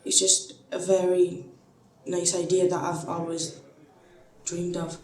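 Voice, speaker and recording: A woman's voice: fairly low pitch, little pitch movement, slow pace, darkish tone. A young woman from Syria giving a casual, hesitant personal account, often saying "like". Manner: hesitant, peppered with "like". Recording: roomy with music underneath.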